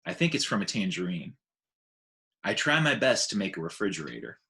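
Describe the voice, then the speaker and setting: A man narrates a children's story aloud. His voice sits slightly low and moves with very lively intonation, giving an expressive read. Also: a little fast, gravelly. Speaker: teacher reading aloud.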